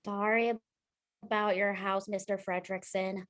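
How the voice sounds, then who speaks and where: A woman's voice: mid-range voice, little pitch movement, a little slow, fairly bright tone, dry recording, studio quality, natural voice. A woman playing a sweet, earnest young boy explorer with a childlike manner, in a script read-through. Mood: apologetic. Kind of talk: casual online table read of an animated film script.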